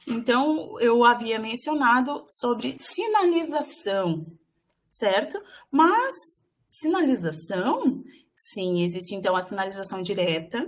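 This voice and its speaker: A woman interpreter giving live voice to a signed lecture, speaking in halting phrases with frequent fillers and restarts. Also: on the high side, animated. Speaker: sign language voice interpreter for a workshop.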